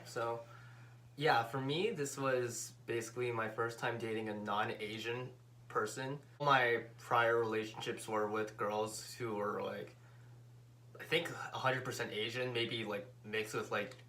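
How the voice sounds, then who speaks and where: A relaxed man chatting on a couple vlog, his voice pitched in an ordinary middle range. He talks thoughtfully, often hedging and pausing to find the right phrasing. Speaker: co-host, the vlogger's fiancé. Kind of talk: casual chat.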